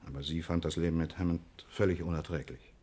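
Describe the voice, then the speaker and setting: Deep husky voice, well-mannered pharmacy owner, earnest, sixties radio crime drama. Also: male voice, fairly even intonation, quick pace, darkish tone. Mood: troubled, earnest. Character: courteous male pharmacy owner, shaken but protesting. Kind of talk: 1960s German radio crime drama acting.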